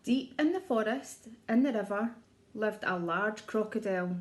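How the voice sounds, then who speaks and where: A woman's voice: mid-range voice, normal speed, noisy background. A woman reading a children's storybook aloud like an early years teacher, expressively, putting questions to her young listeners.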